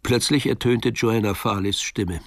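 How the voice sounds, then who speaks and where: A man narrating a crime story from a script in a measured storytelling manner. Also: on the low side, no echo, clean studio sound.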